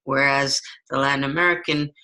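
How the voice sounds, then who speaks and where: A middle-aged woman who teaches English as a second language, answering interview questions in a measured, explanatory way. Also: very deep voice, steady tone, pushed and bright. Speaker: ESL teacher.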